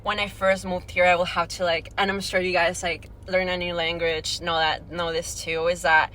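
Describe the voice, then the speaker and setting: Teen girl from venezuela, spanish is her first language, bright lowish voice, chatty podcast guest, says like a lot. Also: little pitch movement, moderate pace, a little hiss or hum. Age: late teens. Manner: chatty, peppers talk with "like". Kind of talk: casual conversational interview answers.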